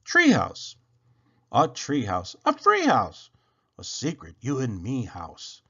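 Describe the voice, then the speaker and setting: A man reading children's poetry aloud in an animated, theatrical way with drawn-out phrasing. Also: mid-range voice, husky, highs cut off. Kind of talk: expressive read-aloud of children's verse.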